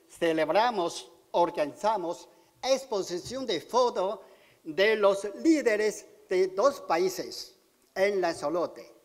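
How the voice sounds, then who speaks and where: A man in his sixties from China, speaking halting, simple Spanish with a Chinese accent in a public speech at a podium in a large auditorium. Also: high-pitched. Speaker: community speaker at civic event. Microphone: podium microphone. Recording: hall reverb.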